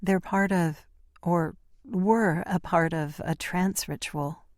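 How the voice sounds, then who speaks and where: Man doing a frightened young woman, confused, anxious questions, raised soft voice, dry sound. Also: high voice, fairly even intonation, slow pace, no room sound, voice pushed up. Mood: fearful.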